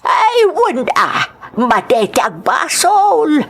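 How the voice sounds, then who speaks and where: An elderly, ailing Ilocano grandmother, acted by a woman in a radio drama. She speaks very slowly, drawing out her words and exclaiming, yet stays kindly underneath. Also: high voice, wildly swooping pitch, fairly bright tone, exaggerated voice. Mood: inquisitive, exclaiming.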